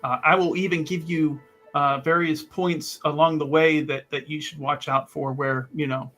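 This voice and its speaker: A man's voice: on the high side, steady tone, moderate pace, a bit bright, faint music behind. A man playing a tabletop game who rambles, agrees readily and laughs often. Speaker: player. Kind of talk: casual tabletop chat.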